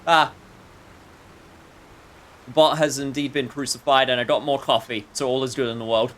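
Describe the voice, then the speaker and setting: Male streamer, medium pitch, casual game commentary, wry and joking, faint game noise behind. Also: steady tone, moderate pace, fairly bright tone. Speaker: game livestreamer.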